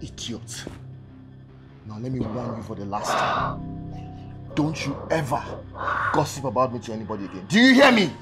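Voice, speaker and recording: A man's voice: on the high side, sing-song, moderate pace, over music. A man playing a cruel, hot-tempered young businessman and abusive husband in a film drama. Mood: menacing rage. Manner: contemptuous, repeats phrases mockingly, menacing.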